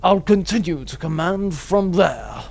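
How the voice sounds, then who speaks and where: A man playing a grave veteran commodore turned rebel in a sci-fi audio drama, speaking formally and deliberately. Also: creaky, darkish tone. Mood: resolute. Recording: cavernous echo, rumbling background noise.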